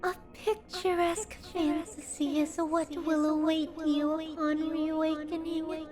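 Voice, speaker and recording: A woman's voice: high-pitched, steady tone, a little slow. A woman playing a doting, sinister, genteel female captor in a theatrical ASMR roleplay, with an ornate, tender, possessive way of speaking. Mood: soothing, possessive. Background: background music.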